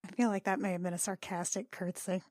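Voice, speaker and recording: A woman playing a tabletop roleplaying game, chatting and roleplaying casually with halting delivery. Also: mid-range voice, fairly even intonation, a little mellow, no room sound, studio quality.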